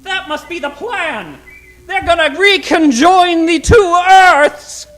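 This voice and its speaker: An excitable, learned professor played by a woman in a vintage radio serial. The voice is high and leaps around dramatically, with slow, deliberate declamation full of wonder. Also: a little hiss or hum, heavily acted. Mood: excited deduction. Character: excitable scholarly professor marvelling at strange worlds. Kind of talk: old-time radio serial acting.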